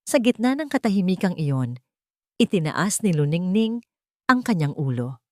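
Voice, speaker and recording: Low-pitched woman, dramatic story narrator. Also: very animated, normal speed, warm tone, no echo, studio quality. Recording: music bed under the voice. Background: music.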